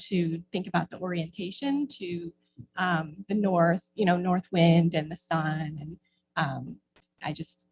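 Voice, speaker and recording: Halting, low-pitched middle-aged woman. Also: a little hiss or hum, low-quality recording. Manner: halting, hedging, searching for words. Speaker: former board member giving public comments. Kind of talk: informal remarks at a town meeting. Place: indoor local government meeting.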